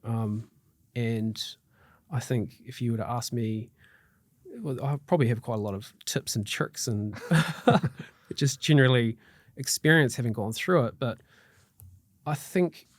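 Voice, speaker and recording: A man's voice: medium pitch, measured pace, dry recording, studio quality. A middle-aged man with a New Zealand accent, a guest chatting casually on a podcast, upbeat and loose with fillers and restarts, his throat slightly tickly. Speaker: guest mortgage broker.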